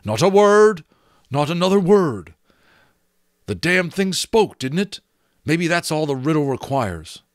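A man's voice: on the high side, big pitch swings, brisk, darkish tone, no echo, studio quality, voice pushed up. A man voicing a desperate young magician who speaks in fierce, snarling bursts.

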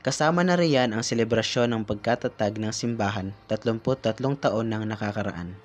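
A young man reporting church news in a measured, formal voice-over. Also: mid-range voice. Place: church hall, indoors.

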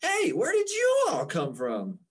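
Lady doing a whiny teen raindrop boy from a comic, super swoopy, bit raspy, dramatic. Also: fairly high pitch, wildly swooping pitch, unhurried, full character voice. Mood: flustered. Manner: theatrical, exclaiming, hesitant. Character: excitable teenage boy turned raindrop, whiny and overwhelmed. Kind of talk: comic strip read-aloud.

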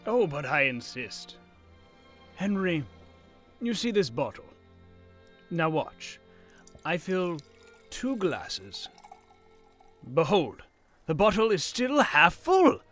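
A man playing a genial, excitable elderly professor in an audio drama, effusive and stammering with delight. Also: sits high, slow, long pauses, faint music behind, heavily acted. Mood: eager delight.

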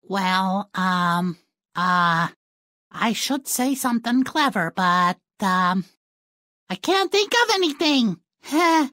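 A woman's voice: mid-range voice, big pitch swings, slow, pushed and bright, no room sound, studio quality, exaggerated voice. A woman voicing a spoiled, whiny, rather dim young princess in a fairy-tale video game, petulant and melodramatic. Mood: dim, sniffy.

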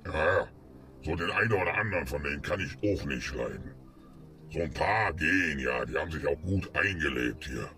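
A man's voice: very deep voice, lively intonation, normal speed, bright, forceful tone. A man complaining and agreeing in a comedy sketch. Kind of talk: ranting comedy dialogue. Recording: faint music behind voice. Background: music.

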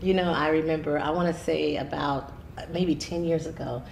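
A woman's voice: low-pitched, fairly even intonation, moderate pace, vocal fry, a little mellow, reverberant, a little hiss or hum, poor audio. A middle-aged woman hosting a faith talk show in a studio, chatty and bubbly, with many affirming uh-huhs. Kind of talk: enthusiastic interview chat. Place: TV studio set, seated.

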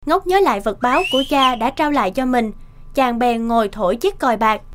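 A woman's voice: a little high, a little fast, natural voice. A woman working as the scripted narrator of a cartoon fairy tale, telling the story. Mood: storytelling.

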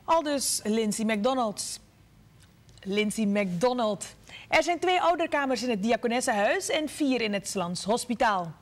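A woman from Suriname reading the news in a measured, formal way from a studio. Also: on the high side, lively intonation, a little hiss or hum. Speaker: news anchor. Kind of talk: scripted news narration.

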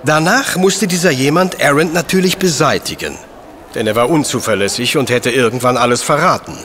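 An actor in a German audio drama playing a brilliant, self-assured professor detective with a precise, didactic, superior manner. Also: male voice, mid-range voice, a little fast, a bit bright, slight background noise, close to an everyday voice. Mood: musing, deducing.

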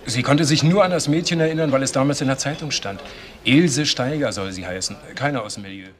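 An actor playing an offhand, dismissive police investigator reporting back in a German crime radio play. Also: male voice, mid-range voice, fairly quick, lightly acted. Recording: faintly noisy radio drama recording.

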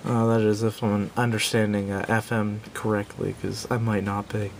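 A man giving a casual, unscripted product review in a halting, self-doubting way, recorded indoors at a tabletop on a camera microphone. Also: flat delivery. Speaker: gadget reviewer. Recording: clear background noise with low rumble.

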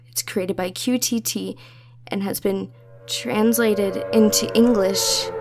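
A woman's voice: medium pitch, a little mellow. A woman narrating a scripted introduction and disclaimer.

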